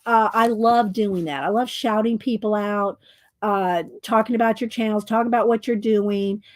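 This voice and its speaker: A woman's voice: a little high, no echo. A middle-aged woman, chatting while making art as host of her crafting channel, rambling, interrupting herself, and laughing often. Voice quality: loud voice. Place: home art studio.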